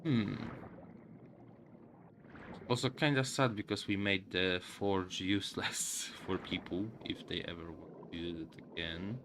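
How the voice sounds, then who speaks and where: Male streamer, low register, halting casual play commentary at a slow pace, music playing behind. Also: fairly even intonation, background noise. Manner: halting, musing aloud to viewers. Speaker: game streamer. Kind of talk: casual live game commentary.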